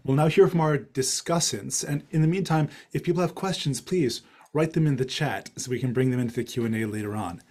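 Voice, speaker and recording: A man's voice: mid-range voice, fairly quick, a little mellow. A man around forty moderating an academic online event in a formal, courteous manner from an office. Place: small office. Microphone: headset on video call.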